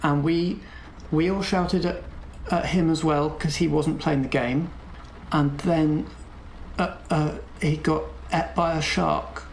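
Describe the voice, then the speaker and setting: A man voicing a scared, quiet six-year-old schoolgirl in a roleplaying game, in short, simple phrases. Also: a little high, fairly even intonation, takes it slowly, echoey room, a little hiss or hum, low-quality recording. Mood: timid.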